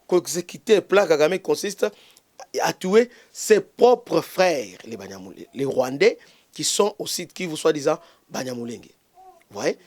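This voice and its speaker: A man with a Congolese French accent, a bishop hosting his own channel, giving an impassioned political commentary full of hesitations and stray Lingala words. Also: sits high. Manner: halting, many fillers and restarts, mixes in Lingala.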